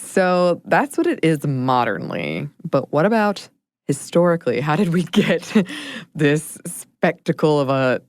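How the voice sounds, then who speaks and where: A woman co-hosting a podcast in casual chat, laughing often and sometimes dropping to a low murmur. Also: big pitch swings, measured pace, no echo, clean studio sound. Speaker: podcast co-host.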